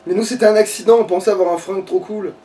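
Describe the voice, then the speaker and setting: A man's voice: high voice, steady tone, rapid-fire, warm tone. A man playing and commentating a video game in casual, slangy chat with crude swearing. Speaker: let's play player and commentator. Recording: somewhat distant mic.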